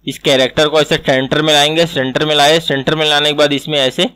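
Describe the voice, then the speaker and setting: A man with a slightly high voice narrates a software tutorial. He explains step by step, repeating each instruction as he goes. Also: little pitch movement, fast, clean tone. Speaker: animation tutor. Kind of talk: screen-recorded software tutorial.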